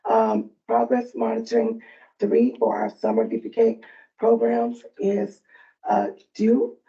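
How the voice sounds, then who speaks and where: Soft raspy-voiced woman giving updates to a room, dark mellow tone, kinda muffled recording. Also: a little high, little pitch movement, slow. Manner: friendly, loose, with frequent fillers. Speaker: early learning coalition staff presenter. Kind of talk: presenting at a provider meeting.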